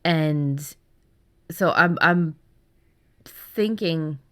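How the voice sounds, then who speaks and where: A woman's voice: low-pitched, drawn-out words, a bit bright. A woman in her thirties co-hosting a podcast in casual chat, effusive and emphatic, often repeating for stress. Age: 30s. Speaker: podcast co-host.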